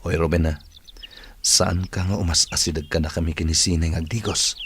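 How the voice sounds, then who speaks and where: A man's voice: deep voice, fairly even intonation, a little fast, a bit bright, slight background noise. A man playing a proud, ardent suitor in an Ilocano-accented radio drama. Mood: bossy, rivalrous. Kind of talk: Philippine radio drama acting. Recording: music playing behind the voice. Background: music.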